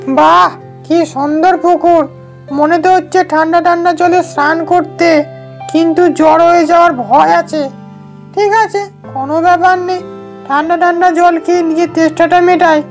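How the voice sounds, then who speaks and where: A woman's voice: very high voice, steady tone, normal speed, faint music behind. A woman voicing a polite, naive monkey, new to the jungle, in a children's animal cartoon. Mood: delighted. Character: polite, naive monkey newly escaped from a zoo. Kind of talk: children's moral-story cartoon dialogue.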